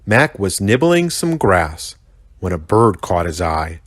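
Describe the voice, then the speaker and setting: American male storybook narrator, pastor type: highly animated, slightly low pitch, unhurried, expressive. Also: dry recording. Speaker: pastor reading to children.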